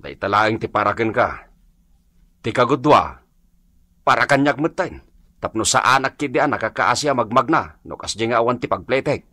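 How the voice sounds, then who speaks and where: A man playing a hapless, excitable husband who swings from meek to defiant, in an Ilocano-accented radio comedy drama. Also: medium pitch, normal speed. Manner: excitable, exclaiming, swinging from mumbling to shouting.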